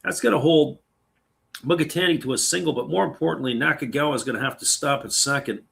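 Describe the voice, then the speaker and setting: A man with a gravelly, slightly worn voice calls a baseball game pitch by pitch. He talks in a relaxed, chatty way and stops now and then to answer viewer comments. His voice has a raspy edge from talking for a long time. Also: fast talker. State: voice getting raspy. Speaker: play-by-play commentator. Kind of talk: live baseball play-by-play with chat reading.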